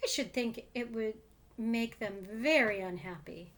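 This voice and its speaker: A woman voicing a kindly, anxious little man of the mountains in a storybook, gentle, polite and earnest. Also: on the high side, a little slow. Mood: upset.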